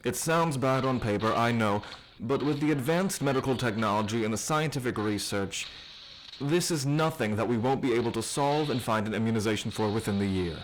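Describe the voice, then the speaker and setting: A measured male government immunologist played by a man speaks in a medium-pitched voice in an audio drama interview. He explains formally, pausing now and then, with a reassuring official tone and noticeable background noise. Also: natural voice, low-quality recording. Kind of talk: broadcast interview roleplay.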